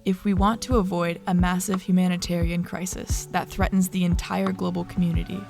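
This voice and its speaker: A documentary narrator delivers scripted lines in a grave, urgent tone. The voice is gravelly and stays flat, with faint music underneath. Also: mid-range voice, brisk.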